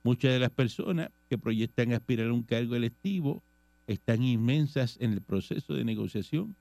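Gravelly, mellow Puerto Rican man. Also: medium pitch, moderate pace, dry recording. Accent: Puerto Rican Spanish. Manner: reads news items and comments on them conversationally. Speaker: radio host reading political news. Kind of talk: casual radio talk show.

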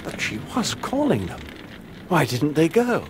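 An actor playing an anxious, earnest investigator in a radio drama. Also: male voice, fairly high pitch, wildly swooping pitch, unhurried, noisy background. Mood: troubled. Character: anxious, earnest male investigator piecing together horrors.

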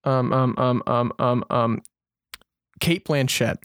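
A man's voice: medium pitch, steady tone, slow, fairly bright tone, no echo, studio quality. A man co-hosting a podcast in casual chat, informal and thinking aloud as he talks. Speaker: podcast co-host.

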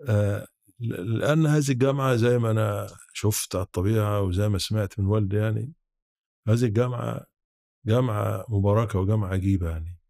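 A man in his seventies with an Egyptian accent, reminiscing in an interview in a halting way with repeated false starts. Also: fairly low pitch, vocal fry, warm tone, no room sound, studio quality. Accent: rural-born Egyptian Arabic. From Sharqia, Egypt. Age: 70s. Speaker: podcast guest, academic.

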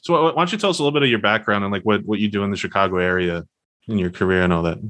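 A man co-hosts a casual podcast and asks his guest questions. His voice sits very low, yet it has a bright, forceful edge, and he talks fast. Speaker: podcast co-host.

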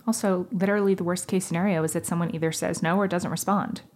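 A woman's voice: on the low side, steady tone, fast talker, darkish tone. A woman interviewing in a casual podcast conversation, chatty and affirming, peppering her talk with "like". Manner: chatty, affirming, heavy use of "like".

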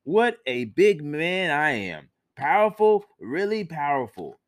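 A man's voice: a little high, big pitch swings, slow pace, voice pushed up. A man voicing a boastful, foolish man from a folktale who turns whiny and pleading.

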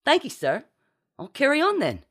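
Woman as a high, whiny young sailor lad. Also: huge pitch swings, moderate pace, a bit bright, exaggerated voice. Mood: obedient. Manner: urgent, whiny, calling out. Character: anxious, whining, deferential young male ship's crewman.

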